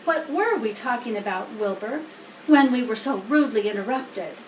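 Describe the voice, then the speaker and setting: A woman's voice: a little high, expressive, normal speed, natural voice. A woman voicing a wise, plain-spoken female spider from a children's storybook, matter-of-fact and instructive. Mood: sharp, protective. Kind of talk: children's storybook character reading. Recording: roomy, distant mic, faint noise.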